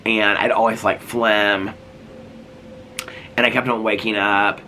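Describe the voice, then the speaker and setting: A middle-aged man sits outside and chats into his vlog, rambling with many "like"s and restarts. He is sick, with a cough and a fading voice, yet his tone stays bright and forceful. Faint background noise sits under the talk. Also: on the low side, little pitch movement, slow pace, poor audio. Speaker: vlogger. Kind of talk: casual vlog chat. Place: sitting outdoors.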